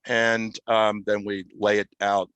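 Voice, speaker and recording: A man giving an unscripted software demonstration as a guest, explaining step by step with halting, mid-phrase pauses. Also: fairly low pitch, level tone, smooth, dry recording, dull audio. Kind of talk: unscripted software demo walkthrough.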